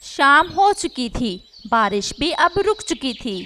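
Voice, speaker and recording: A woman with a high-pitched voice narrates a children's animal story. Her delivery is scripted storytelling. A faint layer of noise lies behind the voice. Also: sing-song, takes it slowly, pushed and bright.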